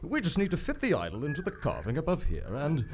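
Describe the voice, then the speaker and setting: A man's voice: fairly high pitch, wildly swooping pitch, fast. A man playing a pompous, eager prince explorer in an old-time adventure radio play, with a theatrical, clipped way of talking. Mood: commanding, assured. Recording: live stage recording, some background noise.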